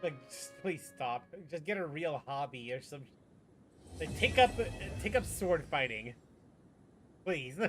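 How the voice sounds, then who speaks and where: High-pitched, animated man streaming. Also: music in the background. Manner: halting, repeats phrases. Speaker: game streamer. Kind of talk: casual live stream commentary.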